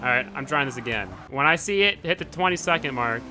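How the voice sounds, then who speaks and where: Animated young man, easily riled gamer. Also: medium pitch, fast talker. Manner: frustrated outbursts, swearing, shouting "why" at the game. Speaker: gaming channel host. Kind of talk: casual gameplay commentary. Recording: game audio and music under voice. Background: car engine sounds and music.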